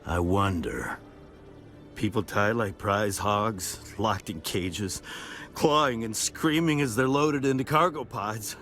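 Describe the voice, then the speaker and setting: A man's voice: lowish voice, slow, fairly bright tone. An actor playing a bitter, haunted middle-aged male ex-marine who drinks, weary and begging in a video game. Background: game music.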